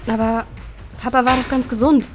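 A woman's voice: a little high, flat delivery, normal speed, background noise, natural voice. An actress playing a questioning, stammering young woman in a naturalistic audio drama. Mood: unsure, probing. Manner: hesitant, stammering, colloquial. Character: upset, questioning young woman who lost her father.

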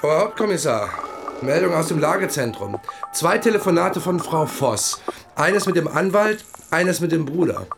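An actor playing a brisk male police officer with a clipped, official manner in a radio drama. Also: on the high side, sing-song, vocal fry, music in the background. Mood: reporting.

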